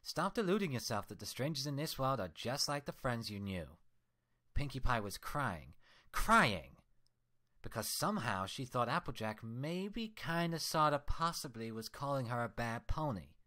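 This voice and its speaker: A man's voice: medium pitch, sing-song, normal speed, pushed and bright, pitched above the performer's own voice. A man voicing the sarcastic, mocking mirror reflection of a female unicorn. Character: sneering mocking mirror twin of a unicorn mare.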